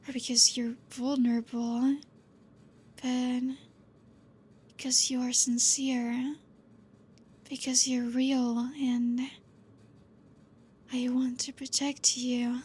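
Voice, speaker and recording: Woman doing a possessive vampire teacher, ASMR, soft and breathy, very slow and even, gently teasing. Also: on the high side. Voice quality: soft, breathy, half-whispered. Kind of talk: ASMR roleplay.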